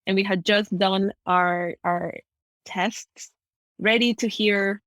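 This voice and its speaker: A woman from Ecuador, a native Spanish speaker with an American accent, talks as a podcast guest. Her pitch sits in the middle for a woman and the recording is dry. She tells stories in a spontaneous, conversational way. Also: steady tone, slow, a bit bright, no room sound. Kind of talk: conversational storytelling in an interview.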